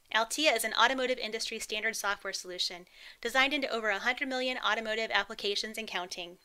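A woman reading a scripted promotional voice-over in a polished, upbeat, even delivery. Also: on the high side, smooth. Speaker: corporate narrator.

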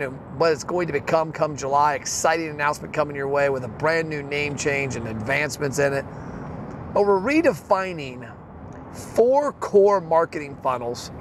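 A man's voice: a little high, noisy background. A man hosting a casual solo podcast, talking bluntly with some salty words while on the road. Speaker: podcast host.

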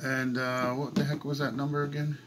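A man's voice: mid-range voice, flat delivery, normal speed. Man muttering casual commentary, faint room noise. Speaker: prank-call video host. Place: small cluttered room at home. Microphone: camera across the table.

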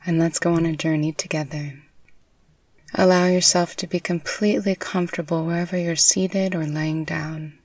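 A woman narrating a guided meditation in a soothing, unhurried, gently instructive way. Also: lowish voice, fairly even intonation, no room sound. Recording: distant mic with faint background noise.

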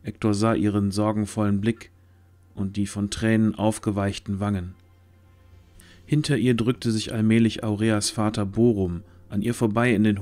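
A man's voice: lowish voice, a little mellow. A man narrating a scripted fantasy story as the narrator of an audio drama. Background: background music.

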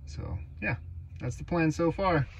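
A man's voice: on the high side, measured pace, a little hiss or hum. A man casually talking through repairs in the small cabin of a boat, with wry, understated asides, recorded on an action camera. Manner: wry, understated, talks to himself while working. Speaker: boat owner vlogger. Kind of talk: casual vlog commentary while working. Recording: music under the voice. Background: background music.

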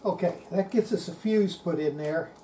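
A woman's voice: fairly low pitch, moderate pace, mellow, a little hiss or hum. An older woman giving casual hands-on narration of a repair, murmuring to herself as she works. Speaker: hobbyist restorer presenting a repair video. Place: home electronics workshop, indoors.

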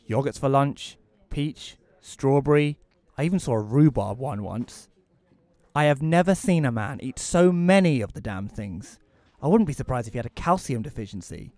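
A man playing a bitter, reclusive widower who narrates an audio play in a wry, deadpan way. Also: medium pitch, no echo, lightly acted.

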